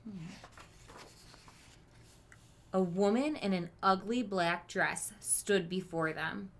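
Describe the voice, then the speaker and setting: A woman teacher reading a storybook aloud to children, expressive, with chatty asides to her listeners. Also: mid-range voice, takes it slowly, a little hiss or hum. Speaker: elementary school teacher.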